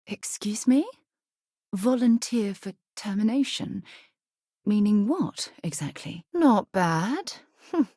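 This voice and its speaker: A woman playing a composed, principled ex-military space explorer in a video game, articulate and measured with a dry wit. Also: mid-range voice, a little mellow, no room sound. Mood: indignant, dry, then warm, wry. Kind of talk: video game dialogue acting.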